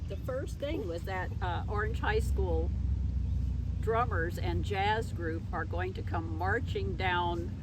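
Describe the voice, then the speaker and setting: A woman's voice: fairly low pitch, takes it slowly. A woman speaking casually as a guest in a conversational interview. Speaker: interview guest, event organizer. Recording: loud outdoor vehicle noise.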